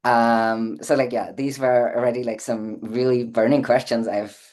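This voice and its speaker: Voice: mid-range voice, level tone, moderate pace, a little mellow. A podcast host from Austria, chatting eagerly and asking long, rambling questions. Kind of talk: casual interview chat.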